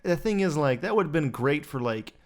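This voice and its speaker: A man's voice: fairly high pitch, expressive, moderate pace. A man co-hosting a casual podcast chat, blunt and offhand, swearing freely. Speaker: podcast co-host.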